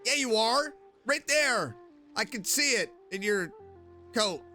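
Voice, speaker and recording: A woman streamer with a bright, sharp voice and very animated intonation. She reacts casually to a game, joking and mock-tough, over faint music. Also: fairly high pitch, slow pace. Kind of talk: casual game playthrough commentary.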